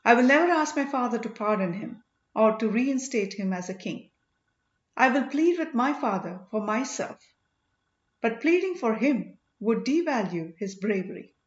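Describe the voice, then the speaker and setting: A woman's voice: a little high, lively intonation, moderate pace, lightly acted. A woman voicing a proud, strong-willed and devoted young princess in an audiobook story. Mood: defiant. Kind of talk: audiobook character dialogue. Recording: roomy, slightly dull home recording.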